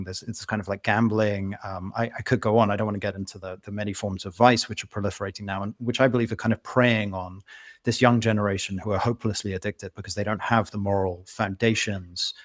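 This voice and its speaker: A man's voice: on the low side, no room sound, clean studio sound. A British man from London, an author being interviewed, reasoning aloud at length in an earnest, conversational way. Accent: British English. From England (London). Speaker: author guest.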